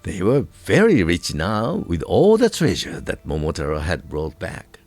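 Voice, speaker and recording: A man with a Japanese accent narrating a folk tale from a script, in a deliberate, expressive storybook manner with dramatic emphasis. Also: sits low, no room sound. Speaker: storyteller, radio voice crew narrator.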